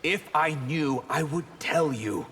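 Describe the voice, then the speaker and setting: A man voicing a dignified, earnest high mage in a video game, speaking in a measured, formal way. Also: animated. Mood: earnest, defensive. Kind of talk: video game fighting character dialogue. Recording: long reverberant tail, faint noise.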